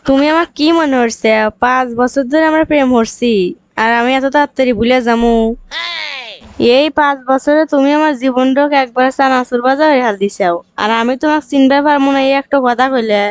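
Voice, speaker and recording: A woman's voice: high-pitched, brisk, natural voice. A woman voicing a scornful young interviewer and bitter ex-girlfriend in a cartoon, scolding and sarcastic, with a rural Bangladeshi accent. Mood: bitter accusing. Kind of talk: cartoon comedy dialogue.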